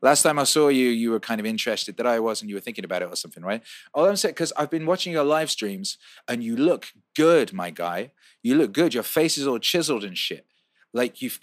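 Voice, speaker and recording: A man's voice: mid-range voice, no echo, clean studio sound. A man from the UK who grew up in Wales, hosting a livestream and reading out viewer questions in a loose, profane, rambling way. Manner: loose and profane, riffs and repeats himself. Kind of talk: casual livestream chat.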